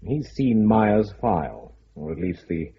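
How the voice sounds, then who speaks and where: An actor playing a stern, suspicious senior British intelligence chief in a radio drama, speaking in a formal, clipped, authoritative way. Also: male voice, fairly low pitch, very animated, unhurried, husky, muffled recording, heavily acted. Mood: insistent.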